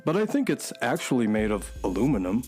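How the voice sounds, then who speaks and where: Man acting a wry, lively horse sidekick. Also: mid-range voice, brisk, soft background music, natural voice. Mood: matter-of-fact. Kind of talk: children's radio drama acting.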